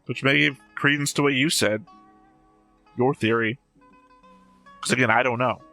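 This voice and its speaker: A man's voice: mid-range voice, steady tone, moderate pace, sharp tone, faint music behind. A man hosting a reaction show, explaining at length in a casual, musing way.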